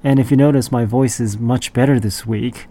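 A man's voice: mid-range voice, fairly even intonation, moderate pace, dark tone. Congested, laid-back male radio DJ. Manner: rambling, laid-back, conversational. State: congested from allergies. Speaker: radio show host. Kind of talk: casual radio DJ chat between songs.

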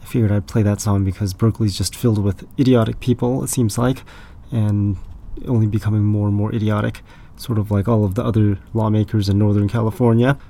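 A man hosting a radio show, hoarse and raspy from being sick, talking in a loose, rambling, self-deprecating way. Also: lowish voice, flat delivery, fairly quick, mellow. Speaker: radio DJ host. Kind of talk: casual radio show chat.

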